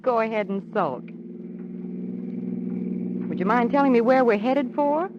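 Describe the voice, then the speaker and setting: A woman's voice: a little high, expressive, measured pace. An actress playing a witty young society woman in an old radio drama, arch and drily teasing. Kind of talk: 1940s radio drama acting. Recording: dull, noisy old radio recording.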